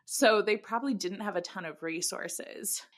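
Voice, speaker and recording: A woman co-hosts a casual book podcast. Her voice sits at an average pitch for a woman. She talks in a chatty, informal style and readily pokes fun at herself. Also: moderate pace. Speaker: podcast co-host.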